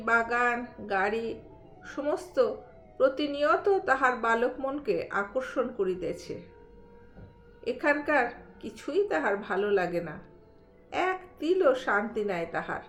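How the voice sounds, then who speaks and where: A woman narrating a literary audio story in an expressive storytelling style. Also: a little high. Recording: roomy echo under soft music. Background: soft background music.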